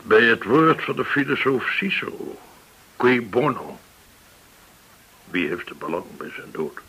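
A man's voice: medium pitch, sing-song, a little slow, bright, forceful tone, husky, full character voice, low-quality recording. An actor in a radio play playing a courteous, shrewd male go-between, formal, learned and insinuating. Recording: hissy old radio recording.

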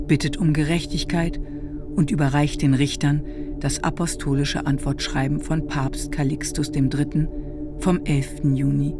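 An actor playing a grave chronicler, narrating a radio play from old records in a sober, precise manner. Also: male voice, a little high, creaky. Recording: studio radio drama with music behind.

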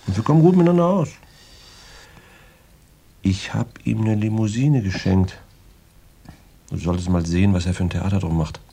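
An actor playing a boastful, tough former heavyweight boxer in a radio crime drama, rambling in slangy, gravelly talk with swagger. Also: male voice, fairly low pitch, moderate pace, pauses often, warm tone. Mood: chatty, fond. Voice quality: gravelly, husky.

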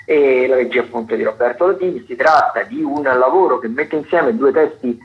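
A man presents theatre listings on a radio show. He speaks at a middling pitch, hesitates often and restarts his phrases. The broadcast sound is muffled and lo-fi. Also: fairly quick. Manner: hesitant, many fillers and restarts.

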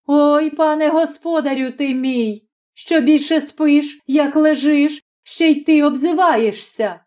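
A woman voicing a shrill scolding old peasant mother. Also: slow pace. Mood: screeching. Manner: scolding, shouting.

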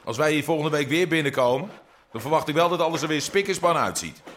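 A man's voice: a little high, animated, fast talker, a bit bright, a little hiss or hum. An actor playing a cocky, menacing young gangster's errand boy with brash street talk in a radio drama. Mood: threatening, cocky.

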